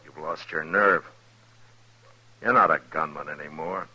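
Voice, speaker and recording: A man acting a calm, hard frontier marshal in a 1950s radio western, speaking tersely and dryly. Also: rumbling low, measured pace, husky, highs cut off, exaggerated voice. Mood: cold taunting. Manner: terse, taunting, unflinching, dry. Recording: vintage 1950s radio recording.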